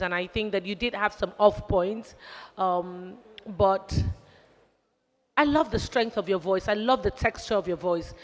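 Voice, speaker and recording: Woman, judge, enthusiastic praise, echoing hall. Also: medium pitch. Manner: enthusiastic, encouraging. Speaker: talent show judge. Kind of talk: live critique. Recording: reverberant hall.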